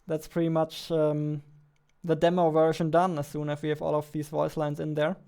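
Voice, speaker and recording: A man in his twenties talking casually as a game developer while coding, halting as he works at his desk. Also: a little high, monotone, gravelly, dark tone, clear, resonant tone. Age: 20s. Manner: halting while typing, reads text aloud slowly. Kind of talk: casual narrated coding livestream or devlog. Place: indoors, at a computer desk. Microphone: studio mic on boom arm, close.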